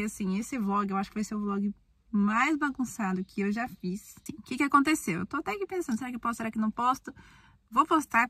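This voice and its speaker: A young Brazilian woman with a Brazilian accent, chatting casually to camera from a car on the road, rambling and chatty with bursts of excited talk. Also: medium pitch, no room sound. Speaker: vlogger. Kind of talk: casual vlog chat to camera.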